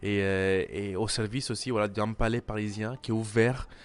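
Young chef speaking French, low voice. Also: male voice, steady tone, normal speed, smooth. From Italy, grew up in Milan. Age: 20s. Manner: hesitant, many fillers and restarts. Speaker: chef and restaurant owner. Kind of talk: casual interview answers.

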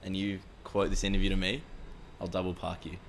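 A man's voice: low-pitched, level tone, a little fast, a little hiss or hum. An Australian man hosting a podcast, asking questions in a blokey, joking way and swearing casually. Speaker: podcast host.

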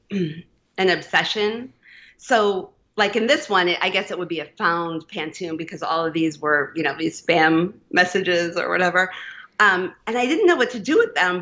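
A woman's voice: fairly low pitch, pushed and bright, highs cut off. A woman poet reading her work aloud, then chatting casually about writing with frequent fillers and laughs. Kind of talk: reading a poem, then casual interview talk.

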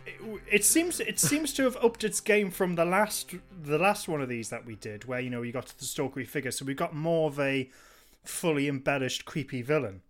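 A man's voice: fairly high pitch, moderate pace, faint music behind. A man hosting a podcast, jokey and mock-exasperated in casual banter and comic read-throughs. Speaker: podcast host.

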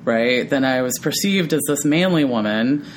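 Deep-voiced woman, thoughtful and chatty. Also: moderate pace, a little hiss or hum, poor audio. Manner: tags thoughts with frequent "right?"; laughs easily, animated when amused. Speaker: podcast co-host. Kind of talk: reflective casual conversation.

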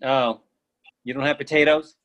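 A man's voice: mid-range voice, steady tone, moderate pace, a bit bright, lightly acted, poor audio. A man reading the part of an oddly fixated, stubborn friend who argues silly logic earnestly in a comic play reading. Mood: earnest insistence.

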